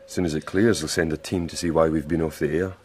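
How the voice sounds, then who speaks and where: An actor playing a grim, resolute leader of a trapped Antarctic research crew in a radio drama, terse and commanding. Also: male voice, very deep voice, fast. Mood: grim, controlled. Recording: noisy drama soundscape, steady ambience.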